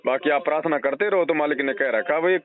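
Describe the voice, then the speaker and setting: Male devotional counsellor, slightly high voice, earnest and explanatory, on a narrow phone recording. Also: fast talker. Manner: earnest, explanatory, uses everyday analogies. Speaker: religious counsellor advising a devotee. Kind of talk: devotional advice on a phone call. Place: phone call.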